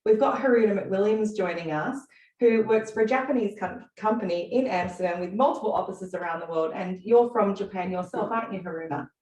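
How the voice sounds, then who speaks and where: A woman's voice: medium pitch, fairly quick, a little mellow, dull audio. Echoey, distant Australian woman hosting. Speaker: panel host. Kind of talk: moderating an online business panel. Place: indoors on a video call. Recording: echoey, distant microphone on video call.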